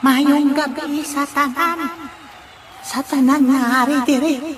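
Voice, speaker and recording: A woman playing a shy contestant who slowly greets the audience at a singing contest. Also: high voice, fairly even intonation, a little hiss or hum.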